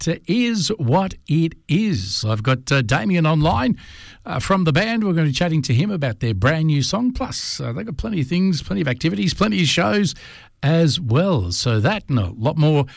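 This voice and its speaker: A man's voice: fairly high pitch, big pitch swings, moderate pace, creaky, no echo, studio quality. A man with an Australian accent hosting a country music radio show, chatting casually with a guest and dropping lots of fillers. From Hunter Valley, New South Wales, Australia. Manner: friendly, chatty, many fillers. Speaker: radio host.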